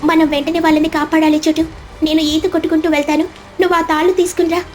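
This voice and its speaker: Woman voicing a high, earnest sparrow. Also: level tone, quick pace, slight background noise. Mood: urgent. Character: brave, kind, forgiving sparrow rescuer.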